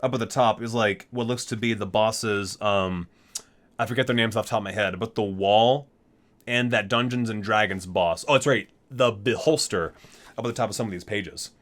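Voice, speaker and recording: A man's voice: lowish voice, normal speed, fairly bright tone. A man reading aloud in an ASMR style with casual asides, in an enthusiastic, geeky and chatty manner. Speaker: video host reading aloud from a book.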